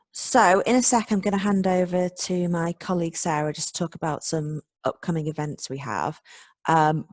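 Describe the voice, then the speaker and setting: An upbeat, chatty woman hosts an online panel and thanks the speakers. Her voice sits lowish, and the sound is dry with no room echo. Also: darkish tone. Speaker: event host. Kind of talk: video call panel hosting. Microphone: video call.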